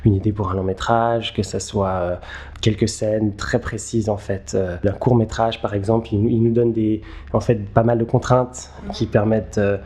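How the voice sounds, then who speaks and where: Man, lowish dark relaxed voice, chatting as a guest, lots of halting repeats. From Geneva, Switzerland. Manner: hesitant, halting, repeats words as he thinks. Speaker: interview guest, filmmaker. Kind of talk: conversational interview answers.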